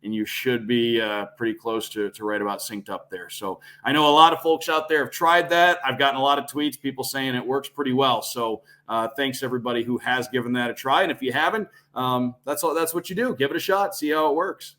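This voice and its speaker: Mid-pitched male sports broadcaster, conversational. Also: fairly even intonation, a little fast, few pauses, pushed and bright. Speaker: radio play-by-play broadcaster, podcast guest.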